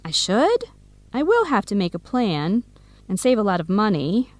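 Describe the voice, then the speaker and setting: A woman's voice: medium pitch, very animated, normal speed, dry recording, natural voice. An actress playing an earnest, playful young woman with coffee shop dreams in an educational radio drama. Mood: earnest, thoughtful.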